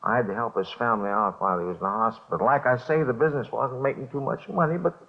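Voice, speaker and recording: Actor as nervous gravelly small-time bookie. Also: male voice, medium pitch, natural voice. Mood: weary, explaining. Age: middle-aged. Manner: halting, repetitive, nervous hedging. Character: jumpy small-time bookmaker confessing to police, pleading and evasive. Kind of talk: 1950s radio drama acting. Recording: dull old radio recording.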